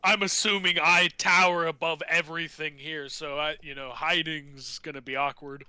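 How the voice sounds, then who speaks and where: A man in a casual tabletop game session. His voice is high and extremely bright, captured very dry, and he rambles as he works out his move aloud. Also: steady tone, normal speed, clear, resonant tone, dry recording.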